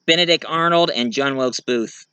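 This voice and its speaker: Male vlogger, clear crisp voice with a bright edge, casual, halting delivery. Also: mid-range voice, no echo. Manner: halting, searching for words. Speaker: video reviewer and host of the channel. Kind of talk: casual talk to viewers about own writing.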